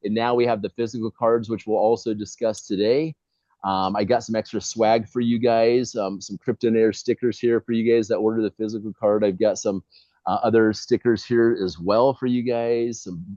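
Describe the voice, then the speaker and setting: A man's voice: lowish voice, fairly even intonation, moderate pace, warm tone, no echo. A man hosting a casual video-call interview, talking enthusiastically with lots of filler sounds. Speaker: host. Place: indoors, Bali. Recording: dull lo-fi video call audio.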